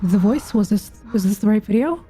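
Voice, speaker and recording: A woman's voice: mid-range voice, expressive, fairly quick, dark tone, faint music behind. A woman streamer giving casual game commentary, thinking aloud in a musing, questioning, informally sweary way. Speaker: gaming streamer reacting to game story.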